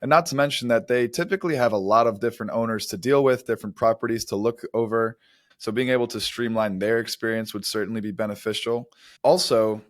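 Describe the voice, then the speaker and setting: A man's voice: fairly low pitch, no room sound, studio quality. A man co-hosting a podcast, giving conversational commentary on business. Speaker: podcast co-host.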